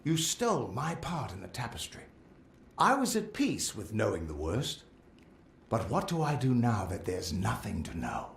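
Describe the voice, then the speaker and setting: A man's voice: on the low side, wildly swooping pitch, normal speed, creaky, reverberant, slight background noise, full character voice. A man playing a middle-aged, boastful but loyal veteran adventurer in a fantasy game, with a theatrical, earnest delivery. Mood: wistful. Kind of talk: fantasy game dialogue acting.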